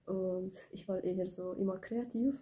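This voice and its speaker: A woman in her twenties from Ukraine, with a cold, talking softly and hesitantly in a casual vlog recorded on a phone in a small room. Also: mid-range voice, fairly even intonation, moderate pace. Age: 20s. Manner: soft-spoken, hesitant, many fillers. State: has a cold. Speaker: beauty business owner. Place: small indoor room, plain wall. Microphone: phone camera close up.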